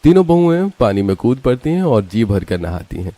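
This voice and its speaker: A man's voice: medium pitch, big pitch swings, a little fast, mellow, no echo. A man narrating a scripted village story for a cartoon.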